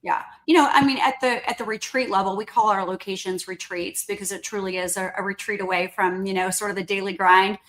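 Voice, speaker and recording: A woman's voice: mid-range voice, steady tone, brisk, hardly any pauses, a bit bright, low-quality recording. Woman, mid-range voice, interview guest, earnest, explanatory, conversational, executive speaking plainly. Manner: earnest, explanatory, often checks with "right?". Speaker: company CEO, podcast guest.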